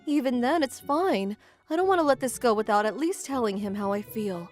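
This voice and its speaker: Casting: woman as sweet teenage love interest, high-pitched, polite, earnest, romcom manga dub. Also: expressive, a little fast, faint music behind, close to an everyday voice. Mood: earnest resolve. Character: Misaki, sweet earnest lovestruck teenage schoolgirl.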